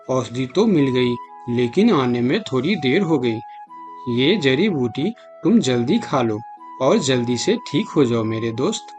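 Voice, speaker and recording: A man voicing a loyal, caring bear in an animated moral story, speaking earnestly and consolingly. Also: mid-range voice, lightly acted.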